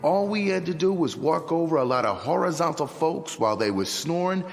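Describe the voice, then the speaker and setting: A man's voice: on the high side, animated, fairly quick, gravelly. An actor playing a tough, loyal gang henchman in a radio drama, with blunt, slangy, goading talk. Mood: firm, admiring. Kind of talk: old-time style radio drama acting. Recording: long hall reverb. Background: dramatic music.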